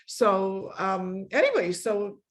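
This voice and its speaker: A woman's voice: mid-range voice, very animated. Effusive middle-aged woman hosting, casual and emphatic. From born in Poland, grew up in a Polish immigrant family. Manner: effusive, emphatic repetition, quick to laugh. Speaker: host of an online meetup. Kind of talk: casual chat, thanking a guest.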